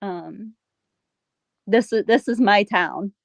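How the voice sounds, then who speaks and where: A woman's voice: medium pitch, vocal fry, dry recording. Emotional middle-aged woman, dry close sound. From Pennsylvania, USA. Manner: emotional, halting, soft sighs. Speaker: guest, local resident. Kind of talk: casual conversation.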